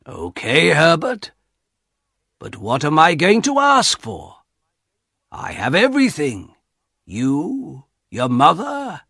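A man reading a story aloud and voicing a quiet, cautious old father. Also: on the high side, huge pitch swings, vocal fry, slightly rough, dry recording, studio quality, pitched above the performer's own voice, full character voice. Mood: hesitant. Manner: slow, hesitant and quiet.

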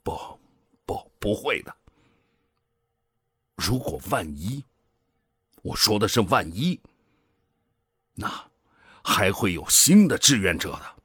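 A cold, middle-aged commander in a sci-fi radio drama. His voice is husky and low, and he argues slowly with swelling, dropping emphasis. He is measured, authoritative and persuasive, in a dry studio sound. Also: very animated, fairly bright tone, no echo, full character voice. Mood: persuasive, earnest. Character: cold, ambitious male project commander, middle-aged, coaxing and self-justifying. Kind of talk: Chinese science-fiction radio drama acting.

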